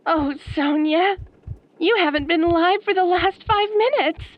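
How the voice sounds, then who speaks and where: A woman acting as an obsessed young female fan turned killer in a horror audio roleplay, soft-spoken, girlish and pouty, with sweet menace. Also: very high voice, measured pace, dry recording, highs cut off. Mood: cheerful menace. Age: young adult.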